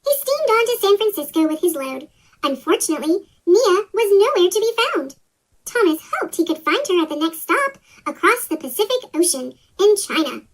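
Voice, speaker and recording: A woman reading a children's story aloud as scripted narration, her voice sped up with a chipmunk pitch-shift effect. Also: very animated, moderate pace.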